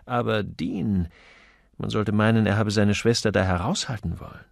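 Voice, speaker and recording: A man's voice: lowish voice, normal speed, gravelly, no room sound, studio quality. A man narrating a crime novel in a scripted audiobook style, reading the dialogue expressively.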